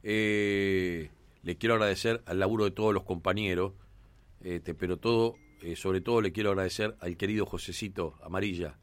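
A man with an Argentine Rioplatense accent hosting sports radio talk in a studio, chatting conversationally and filling pauses with "eh" and "este". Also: low-pitched, fairly even intonation, dry recording. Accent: Argentine Rioplatense Spanish.

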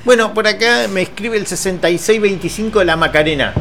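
Bright-voiced Argentine man bantering on radio. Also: sits high, a little fast, slight background noise. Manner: joking, teasing. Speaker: radio co-host reading listeners' messages. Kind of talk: casual chat.

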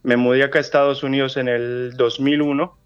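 A man's voice: mid-range voice, flat delivery, a little fast, clear, resonant tone, no echo, muffled recording. A man in his thirties from Colombia, a guest calling in to a radio show, chatting casually with many fillers and restarts. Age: 30s. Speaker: phone-in guest.

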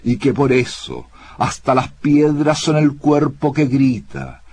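A man with a husky voice recites poetry in a grave, incantatory manner with deliberate pauses. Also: medium pitch, darkish tone. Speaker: poetry reader.

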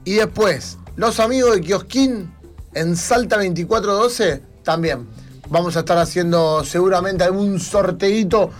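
Male radio host, Rioplatense Argentine, high pitch, chatty and casual, music underneath. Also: unhurried. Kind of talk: casual live radio chat.

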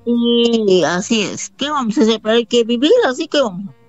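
A woman from Bolivia taking part in a call-in conversation, talking in halting, repetitive phrases with affectionate warmth. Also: fairly high pitch, lively intonation, dull audio, faint music behind. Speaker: caller. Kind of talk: phone-in conversation.

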